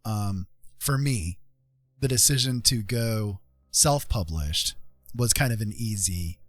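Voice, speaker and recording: A man's voice: lowish voice, animated, slow pace, no room sound. A man chatting casually on a livestream, explaining things conversationally and often checking in with the listener. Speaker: streamer and self-published author.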